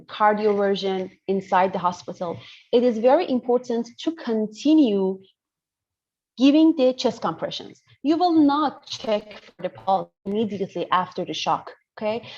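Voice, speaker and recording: A woman's voice: medium pitch, darkish tone. A woman with an Iranian accent teaching an interactive online medical class, explaining and often checking that listeners follow. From northern Iran. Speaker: lecturer, pediatrician. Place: indoors on a video call. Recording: muffled video call audio.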